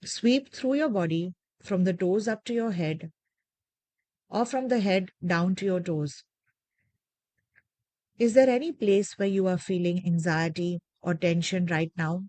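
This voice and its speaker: A woman leading a guided meditation with gentle, measured, soothing instructions and deliberate pauses. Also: on the low side, no room sound.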